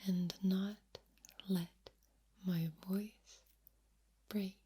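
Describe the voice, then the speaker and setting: A woman doing soft-spoken ASMR, mostly in a breathy whisper, deliberately drawing out and repeating words close to the microphone. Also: mid-range voice, level tone, slight background noise. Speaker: ASMR host. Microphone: close, sensitive microphone.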